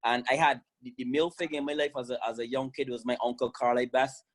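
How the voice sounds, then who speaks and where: A man's voice: medium pitch, steady tone, quick pace. A man in his thirties from Barbados, a guest on a video-call interview, talking with enthusiasm and laughing often. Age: 30s. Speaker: guest, former fast bowler.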